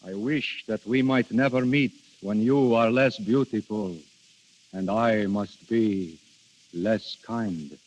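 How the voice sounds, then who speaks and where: A man's voice: fairly low pitch, slow, darkish tone. An actor in a 1930s radio drama playing Death on holiday as a courtly prince, speaking formally and theatrically and savoring his words. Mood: courtly, charming. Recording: dull, hissy 1930s radio recording, faint music.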